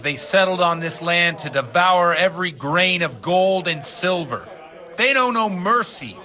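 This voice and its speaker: Game role: boozy rebel priest, high sharp voice, fervent preacher's delivery. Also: male voice, exaggerated voice. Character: hard-drinking rebellious village priest, zealous and worldly. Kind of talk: video game cutscene acting.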